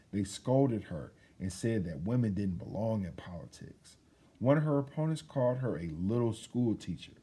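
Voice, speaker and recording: A man reads a children's picture book aloud in a mellow, slightly husky voice. His delivery is expressive and emphatic, with pitch that rises and falls freely as he tells the story. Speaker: children's read-aloud host.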